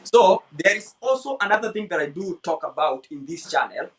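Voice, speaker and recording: A man with a slightly high voice hosts a relaxed interview. He speaks thoughtfully and often pauses on "uh" and "you know" as he gathers his ideas. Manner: reflective, with many fillers. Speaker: host. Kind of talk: casual interview chat.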